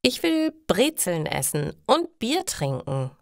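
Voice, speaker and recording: Young male tourist, storybook, eager, casual, animated intonation; voiced by a woman. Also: lowish voice, takes it slowly, creaky, no echo, studio quality, full character voice.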